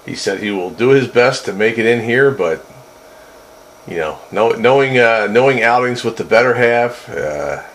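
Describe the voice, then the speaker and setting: A man's voice: mid-range voice, lively intonation, moderate pace, fairly bright tone, low-quality recording. A man chatting casually over two-way radio into a desk microphone, with a wry, joking manner. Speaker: amateur radio operator. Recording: two-way radio with faint static.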